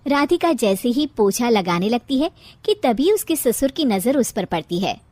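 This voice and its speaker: A woman's voice: a little high, sing-song, normal speed, a little mellow, dry recording. A woman narrating a scripted cartoon family story in a storytelling style.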